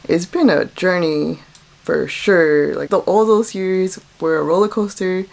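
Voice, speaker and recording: Woman, late twenties, slightly low pitch, casual vlog, candid, reflective. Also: a little mellow. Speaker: vlogger. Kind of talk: casual personal vlog talk to camera.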